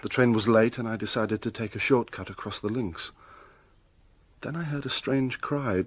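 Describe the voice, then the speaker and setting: A man's voice: medium pitch, moderate pace, lightly acted. An actor playing an earnest, guilt-ridden young man in love, in a radio drama. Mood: troubled, confiding.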